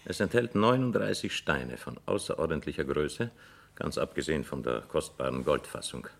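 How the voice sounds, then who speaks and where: A haughty aristocrat with an extremely low, gravelly voice. He speaks formally and with measured command, as a man used to being obeyed, in classic radio drama style. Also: animated, exaggerated voice. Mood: businesslike, assured. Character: haughty, commanding nobleman client of a London banker. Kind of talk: German radio drama acting.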